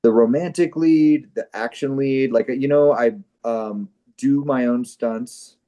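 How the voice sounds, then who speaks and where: A man's voice: mid-range voice, takes it slowly, dark tone, muffled recording, close to an everyday voice. A man playing a middle-aged struggling actor in a comedy, boastful and defensive, talking in a hesitant, rambling, earnest way. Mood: earnest self-justifying. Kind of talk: improvised-sounding comic dialogue.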